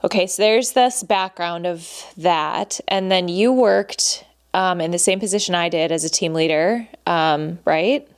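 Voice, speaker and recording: A woman's voice: lowish voice, unhurried, hardly any pauses, clear, resonant tone. A woman hosting a podcast in a casual, chatty way, often laughing and saying "like". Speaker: podcast host. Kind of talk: casual conversational interview.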